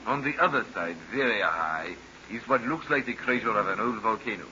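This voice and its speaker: A man's voice: lowish voice, lively intonation, brisk, pushed and bright. An actor playing a touchy, eager henchman pilot in an old radio serial, emphatic and defensive. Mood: eager, proud. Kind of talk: 1940s radio acting. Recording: noisy, muffled old radio recording.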